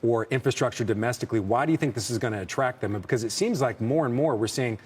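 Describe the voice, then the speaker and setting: A man's voice: mid-range voice, quick pace, breathy edge. A man anchoring a live business news interview, reading out long quotes and asking pointed questions.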